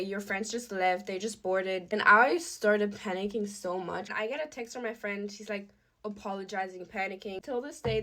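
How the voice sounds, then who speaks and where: A woman tells a personal story in a casual, chatty way, as a social media creator would. Her voice sits at a medium pitch, and she dramatizes quoted speech along the way. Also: a bit bright. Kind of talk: casual storytime talking to camera.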